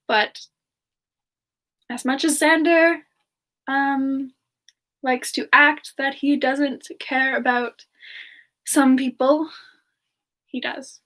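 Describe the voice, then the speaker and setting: A woman voicing a gentle, playful siren entertainer in a soft, hesitant semi-ASMR chat, answering questions in character. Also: high voice, full character voice. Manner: soft, unhurried, giggly, hesitant.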